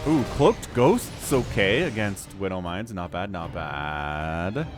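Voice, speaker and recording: A man in his forties giving lively, excitable play-by-play commentary on a strategy game match. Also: low-pitched, takes it slowly, over music. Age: 40s. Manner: animated, excitable, self-deprecating asides. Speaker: game caster. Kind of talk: esports commentary.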